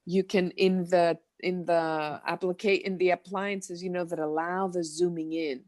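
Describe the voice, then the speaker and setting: A woman's voice: on the low side, fairly even intonation, measured pace, darkish tone, no echo. A woman on a video call, rambling and searching for words as she praises a book. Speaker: event participant. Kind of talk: casual online video call chat.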